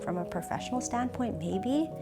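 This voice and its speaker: A young woman from Canada with a Canadian accent, speaking reflectively in an interview, thoughtful and hesitant, often pausing and restarting. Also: on the high side, lively intonation, over music. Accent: Canadian English.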